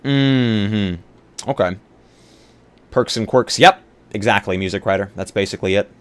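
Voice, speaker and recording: A man's voice: lowish voice, a little slow, a bit bright, clean tone. A man chatting casually on a live roleplaying stream as he talks through building a game character.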